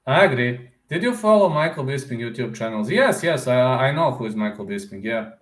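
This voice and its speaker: Man, casual live stream chatter, rambling, middle pitch, roomy echo. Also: lively intonation, fairly quick. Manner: rambling, answers viewer comments aloud; repeats words, often ends with "man". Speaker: combat sports YouTuber.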